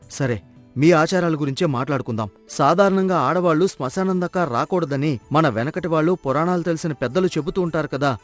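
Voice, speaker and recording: A man playing a brave, indignant young hero who challenges others forcefully in a dramatized story. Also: fairly high pitch, natural voice. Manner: forceful, questioning, righteous.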